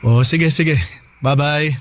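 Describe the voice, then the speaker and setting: A man's voice: a little high, fairly even intonation, takes it slowly. A man playing a worried, insistent husband from the province in a radio drama, speaking in an anxious, urging, colloquial way. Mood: caring. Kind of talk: radio drama dialogue, phone call scene.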